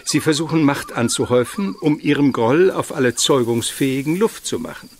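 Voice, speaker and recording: Older man of the court, radio drama, reflective, worldly, mid-pitched. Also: lively intonation, a little fast. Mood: knowing, musing. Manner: thoughtful, knowing. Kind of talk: German radio play dialogue.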